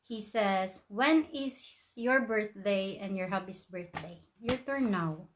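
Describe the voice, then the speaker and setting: A woman's voice: mid-range voice, takes it slowly, slight background noise. A Filipino woman in her twenties with a Filipino accent, co-hosting a casual question-and-answer chat at home and giggling often while she reads questions aloud. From Philippines. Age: 20s. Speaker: vlogger co-host.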